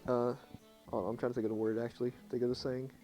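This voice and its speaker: A young man talks in a low, hushed voice, chatting casually to viewers while he plays. His tone is mellow and relaxed. Faint game music runs underneath. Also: fast talker. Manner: quiet and subdued, talking softly at night. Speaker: streamer. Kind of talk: casual live game commentary.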